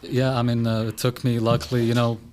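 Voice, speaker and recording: A man's voice: mid-range voice, little pitch movement, brisk, a little mellow, a little hiss or hum. A man of about thirty from Iran, a podcast guest talking casually in a studio with lots of "uh" and "you know" fillers. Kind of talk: casual interview talk.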